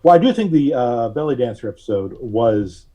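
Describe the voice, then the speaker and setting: A man speaking as a podcast guest, recalling things casually in a halting, deliberate way with frequent long hesitations. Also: mid-range voice, animated, warm tone. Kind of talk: casual interview recollection.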